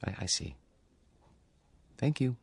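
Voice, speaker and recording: An actor playing a meek, awkward grown son in a radio drama, stammering politely, a lonely and secretive dreamer. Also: male voice, takes it slowly, natural voice. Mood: timid, nervous. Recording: music bed under the dialogue.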